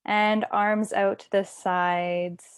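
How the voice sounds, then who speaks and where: A woman teaching yoga nidra, giving guided relaxation instructions in a soothing, unhurried, gentle way. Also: mid-range voice, level tone, clean tone, dry recording.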